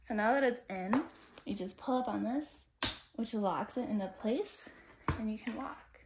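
A woman's voice: fairly high pitch, normal speed, heavy background noise. A young woman talking casually and step by step as she demonstrates how her ski gear works. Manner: friendly, explains step by step while handling gear. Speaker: outdoor gear vlogger.

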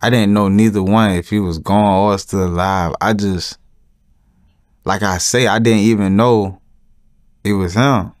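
A young man answering interview questions casually, with slangy, halting, repetitive phrasing. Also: on the low side, fairly even intonation, no echo, clean studio sound. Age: young adult.

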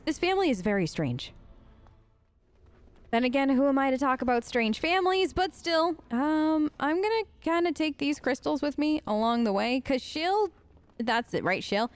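A woman's voice: high-pitched, lively intonation, normal speed. A woman giving role-play commentary as she plays a game, with hesitant, conversational asides. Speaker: let's player. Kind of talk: role-play gaming commentary, reading in-game text aloud.

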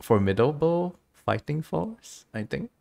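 A man's voice: on the high side, expressive, unhurried, creaky, darkish tone. A man giving casual, chatty game commentary as a streamer, with a giggly manner.

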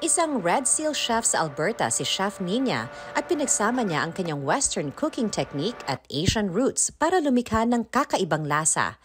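A woman's voice: medium pitch, very animated, normal speed, a little hiss or hum. A woman with a Filipino accent reading scripted news narration as a reporter. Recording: music playing behind the voice. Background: background music under voice-over.

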